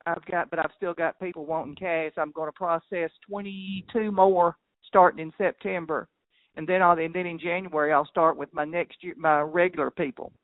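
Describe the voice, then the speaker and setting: A man with a fairly high voice talks over a thin, narrow phone line with very little room sound. He is a cattle farmer, chatting in a folksy, rambling, plain-spoken way. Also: fairly even intonation, normal speed, no room sound. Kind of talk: casual phone chat. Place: on a phone call.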